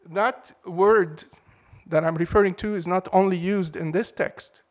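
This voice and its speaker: A man preaching a sermon in a measured, emphatic way, leaning hard on key words. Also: sits high, fairly even intonation.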